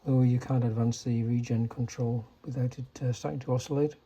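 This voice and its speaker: Mellow-voiced man demonstrating vintage gear. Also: fairly even intonation, breathy edge. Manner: halting, low-key technical explaining. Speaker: vintage radio restorer demonstrating a set. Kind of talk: casual unscripted demonstration.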